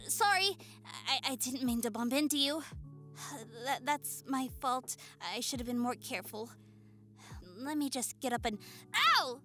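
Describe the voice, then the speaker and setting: A woman voicing a shy, nerdy young goblin woman in a romantic audio roleplay, hesitant, stammering and soft-spoken. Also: sits high, heavily acted. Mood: flustered, embarrassed. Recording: music behind the voice. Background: soft music.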